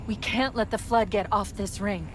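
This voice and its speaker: An actress voicing a sharp-witted, dry female ship AI in a video game cutscene, brisk and wry. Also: mid-range voice, noisy background, close to an everyday voice. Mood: grave. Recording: voice over loud game score.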